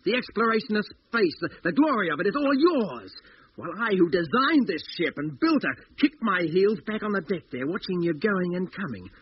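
An actor playing a bitter, paranoid spaceship designer, scornful and agitated, in 1950s radio drama style. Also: male voice, high-pitched, sing-song, moderate pace. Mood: paranoid ranting. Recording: noisy, dull 1950s radio recording.

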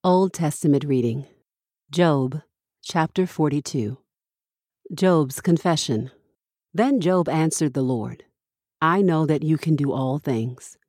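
A woman reading scripture from a script as a podcast host, with measured, deliberate phrasing. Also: low-pitched, darkish tone, dry recording, studio quality.

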